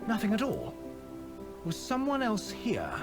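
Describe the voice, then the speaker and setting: A man playing a solemn, wry storybook narrator in a mystery game, with a measured, theatrical, literary delivery. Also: very high-pitched, huge pitch swings, full character voice. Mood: solemn, suspenseful. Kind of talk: scripted dramatic game narration. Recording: loud music under the voice.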